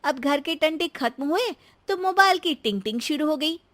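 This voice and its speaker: A woman voicing a young daughter-in-law who is fed up and speaks in plaintive, emphatic complaints in an animated story. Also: high-pitched, normal speed, pitched above the performer's own voice. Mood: annoyed. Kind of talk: animated moral story dialogue.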